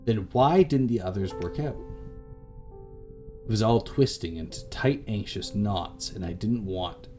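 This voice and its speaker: A man's voice: fairly low pitch, expressive, unhurried, a little mellow. A man reading a visual novel aloud as a let's play host, with casual, irreverent, slangy commentary. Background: music.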